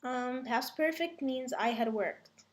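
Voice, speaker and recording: Slow-paced female student, slightly high voice. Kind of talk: answering and reading aloud in class. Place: indoor classroom.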